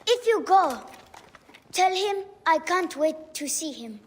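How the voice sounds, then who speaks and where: A woman's voice: extremely high pitch, lively intonation, takes it slowly, exaggerated voice. A woman playing an eager, earnest young boy devoted to his family in a video game cutscene. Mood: hopeful. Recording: long reverb, noisy background.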